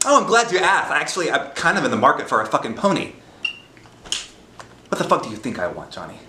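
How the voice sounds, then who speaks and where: A man acting a volatile criminal boss in a screen drama, sarcastic and menacing. His fairly high voice swings widely, in a roomy, echoing space with music behind. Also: very animated, quick pace, background noise. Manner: sarcastic, swinging from quiet threat to outburst. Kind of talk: dramatic film acting, profane dialogue.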